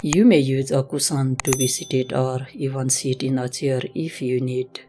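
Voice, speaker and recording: A woman instructor leading a slow guided meditation in a gentle, measured, instructional manner. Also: extremely low pitch, fairly even intonation. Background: music.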